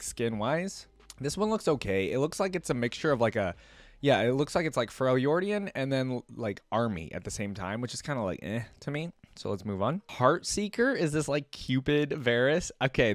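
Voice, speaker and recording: A man's voice: medium pitch, no echo. A man giving casual reaction commentary, thinking aloud in informal, slangy language. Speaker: reaction video creator.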